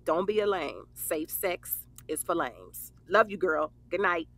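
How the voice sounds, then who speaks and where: Woman playing bright, brash young best friend. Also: lowish voice, sing-song, unhurried, heavily acted. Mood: teasing, affectionate. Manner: playful, blunt. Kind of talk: audio drama dialogue.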